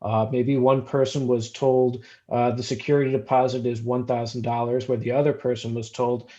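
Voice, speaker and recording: A man explaining his work as a panelist, speaking haltingly and stumbling over words. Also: medium pitch, level tone, dark tone. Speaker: fair housing investigator on a panel. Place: online video call. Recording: slightly dull video-call audio.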